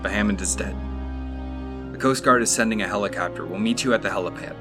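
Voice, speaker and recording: An actor playing a shaken paleontologist who gives urgent, steady orders. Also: male voice, fairly low pitch, fast, over music, lightly acted. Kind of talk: fan audio drama acting.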